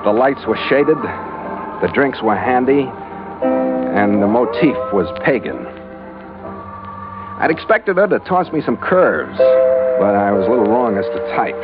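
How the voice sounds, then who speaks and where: An actor playing a tough, wry detective in old radio noir style, speaking and narrating in a laconic, deadpan way. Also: male voice, mid-range voice, measured pace, pauses often, lightly acted. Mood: tense narration. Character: tough, wry male hardboiled detective narrating his adventure. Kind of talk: 1940s-50s radio noir acting and first-person narration. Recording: muffled old radio recording, loud music behind.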